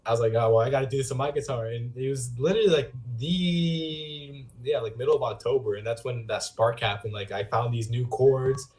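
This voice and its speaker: Male musician guest, average pitch, loose informal chat, rambling storytelling. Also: fairly even intonation, a little fast, a little mellow, a little hiss or hum. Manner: informal, rambling, quotes himself and others in stories. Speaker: guest singer-songwriter and guitarist. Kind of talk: casual interview chat, anecdotes.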